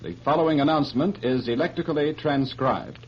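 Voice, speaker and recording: A man reading a 1930s radio newscast and station announcements in a brisk announcer delivery. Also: fairly high pitch, breathy edge. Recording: dull old radio broadcast with faint hiss.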